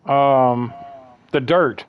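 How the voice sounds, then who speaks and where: Male streamer, bassy voice, chatty rambler, muffled stream audio. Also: very slow, clear, resonant tone. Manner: rambling, chatty storyteller, calls people bro. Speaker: livestream host. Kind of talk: casual chat.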